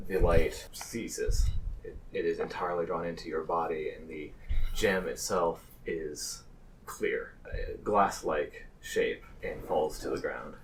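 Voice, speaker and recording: Deep-voiced man narrating slowly as game master. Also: recorded from a distance, slight background noise, poor audio. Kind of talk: improvised narration of a tabletop roleplaying game.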